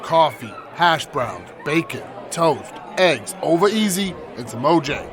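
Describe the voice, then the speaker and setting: A cocky, insolent young thug whose voice jumps around lively in pitch. A bustling crowd murmurs outdoors behind him. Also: male voice, unhurried. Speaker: gang member. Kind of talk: radio drama acting.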